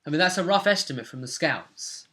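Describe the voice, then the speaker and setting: A man's voice: fairly high pitch, expressive, fairly quick, bright, forceful tone, slight background noise. A man in his twenties casually recapping a tabletop role-play session as game master, telling it with dramatic flair in a room at home. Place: small furnished room at home, indoors.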